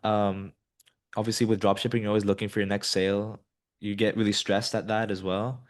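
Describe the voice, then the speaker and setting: A young man in his twenties, originally from Colombia, talks through his answers in a low, easygoing voice. He pads his sentences with ums and you-knows as he thinks out loud. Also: fairly quick. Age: 20s. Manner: many ums and you-knows, relaxed and rambling. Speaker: guest, business student. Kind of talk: casual interview answers.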